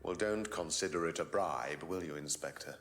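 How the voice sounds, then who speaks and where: An actor playing an evasive course leader in a mystery game, hedging and getting testy under police questioning. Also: male voice, sits low, moderate pace, a bit bright. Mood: guarded, evasive. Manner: defensive, hedging. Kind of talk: live-action game drama dialogue.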